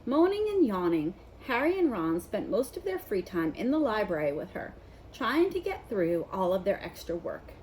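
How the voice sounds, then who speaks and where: Animated woman reading a storybook aloud. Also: medium pitch, moderate pace, slight background noise, rough recording. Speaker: read-aloud narrator. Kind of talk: storybook narration read aloud.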